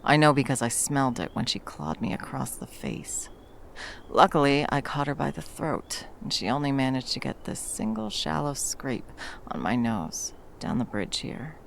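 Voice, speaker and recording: A woman playing a battle-hardened, fiercely protective monster hunter in an intimate ASMR roleplay, speaking to a lover with dry, tender menace and a gravelly voice. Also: very deep voice, big pitch swings, slight background noise, full character voice. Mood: quiet menace. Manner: menacing yet tender, slow deliberate storytelling with dry understatement.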